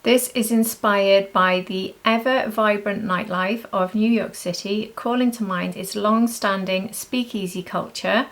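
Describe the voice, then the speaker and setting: A woman with an average-pitched voice that often crackles into fry. She talks like a beauty vlogger going through favourite products, chatty and informal. Her delivery gushes, leaning on repeated words for emphasis. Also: steady tone, a little slow, few pauses. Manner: gushing, emphatic repetition. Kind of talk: casual chatty product review.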